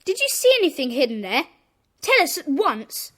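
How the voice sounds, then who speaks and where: Bossy, high-voiced schoolboy. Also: female voice, sing-song, moderate pace, sharp tone, exaggerated voice. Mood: questioning, impatient. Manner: stern, scolding, self-important. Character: bossy, indignant schoolboy, leader of a secret club. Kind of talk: children's audio drama acting.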